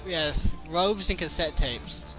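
A young man chatting casually as he plays a co-op survival game, joking and slangy, with excitable delivery. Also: a little high, slow pace, music in the background, poor audio. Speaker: gamer, video host. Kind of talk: casual chat while playing a co-op survival game.

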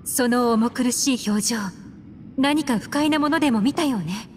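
A woman's voice: a little high, moderate pace, a little hiss or hum, natural voice. A woman playing a cool, sceptical, secretive female agent in a video game, composed and aloof, with elegant phrasing. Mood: measured, wary.

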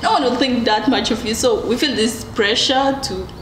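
Young woman student, higher-pitched, animated and laughing in group chat; echoey room, noisy. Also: moderate pace. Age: young adult. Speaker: university student. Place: living room with sofa, indoors. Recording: echoey room with background noise.

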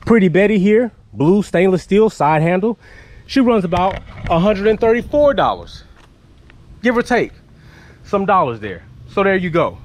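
A man's voice: high-pitched, very animated, normal speed. A man in his thirties hosting a casual product review vlog outdoors in a driveway, talking bluntly and animatedly and swearing casually while holding the camera at arm's length. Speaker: car channel host. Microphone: handheld camera held at arm's length.

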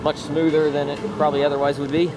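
A man's voice: fairly high pitch, flat delivery, fast, very noisy. A man narrating a casual, off-the-cuff vlog outdoors on a handheld camera, in short matter-of-fact remarks. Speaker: theme park vlogger. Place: outdoors in an amusement park. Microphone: handheld camera mic.

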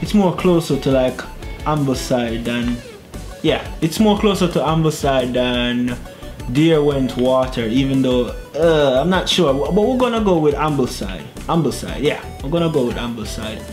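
A young man talks through his gameplay into a headset in a small room at home. He is chatty, mutters and laughs to himself, and game music plays clearly behind him. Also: mid-range voice, expressive, moderate pace, darkish tone. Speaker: gaming YouTuber. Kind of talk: casual gameplay commentary. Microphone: gaming headset.

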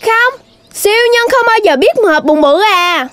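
A woman's voice: extremely high pitch, lively intonation, unhurried, a bit bright, no echo, full character voice. A woman voicing a small, pouty, pleading animal child in a children's cartoon, with a southern Vietnamese accent. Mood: tired, whiny. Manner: whiny, childlike delivery. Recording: music under the voice.

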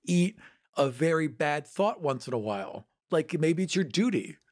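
Male panelist, somewhat higher pitch, casual emphatic talk about game lore. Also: very animated, normal speed. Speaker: podcast panelist. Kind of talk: casual animated discussion of tabletop game lore.

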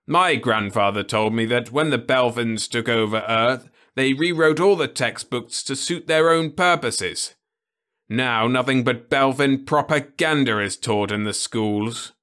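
A man's voice: mid-range voice, unhurried, fairly bright tone, voice pushed up, natural voice. A man voicing a grumbling, rebellious old man in a storybook reading. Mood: scornful. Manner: scornful, mournful.

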